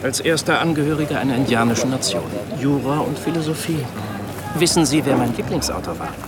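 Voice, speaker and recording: A male actor plays a learned Iroquois army scout in a war radio drama. He is courteous, formal and completely sure of himself, with an eloquent turn of phrase. Clear outdoor noise surrounds his middle-pitched voice. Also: a little fast, close to an everyday voice. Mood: erudite, assured. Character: erudite Iroquois US Army sergeant, seasoned, supremely self-assured scout. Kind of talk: German radio play dialogue. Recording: clearly noisy outdoor ambience behind.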